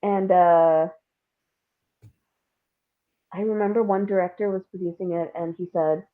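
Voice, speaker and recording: A woman's voice: lowish voice, steady tone, unhurried. A woman guest talking casually and candidly in an interview, thinking aloud in an informal way. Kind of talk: casual reflective interview talk. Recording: narrow, phone-like call audio.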